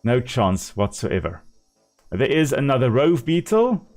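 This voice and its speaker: A man's voice: mid-range voice, sing-song, a little slow, a little mellow, soft background music. A man with a South African accent, a native Afrikaans speaker, chatting casually and explaining as he plays a game on a live stream.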